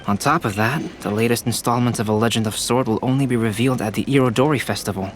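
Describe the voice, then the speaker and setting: A man playing a calm, reserved young scholar in a video game, speaking in a measured, formal, thoughtful way. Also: medium pitch, faint music behind, natural voice. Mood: probing, logical. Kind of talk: video game character voice acting.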